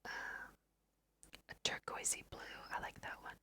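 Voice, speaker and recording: Woman whispering slowly, ASMR style. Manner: soft-spoken, unhurried, thinking aloud. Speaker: let's play host. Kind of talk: whispered ASMR game commentary.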